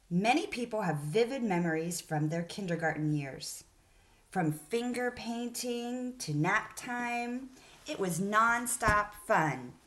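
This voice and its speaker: A woman's voice: mid-range voice, fairly bright tone. Woman teacher leading a children's read-aloud lesson. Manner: encouraging, instructive, addressing children directly. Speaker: elementary school teacher.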